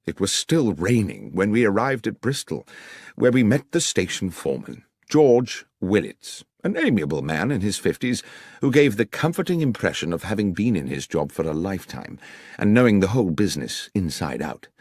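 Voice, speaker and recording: A man's voice: on the low side, very animated, normal speed, darkish tone, breathy edge, no echo, studio quality. A man reading a scripted detective audiobook as its narrator.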